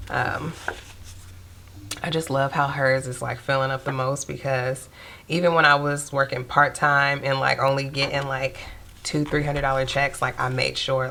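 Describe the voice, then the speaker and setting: A young woman with a stuffy nose from a cold, chatting casually to viewers while counting out cash in a steady murmur. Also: a bass voice, creaky, a little hiss or hum. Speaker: budgeting vlogger.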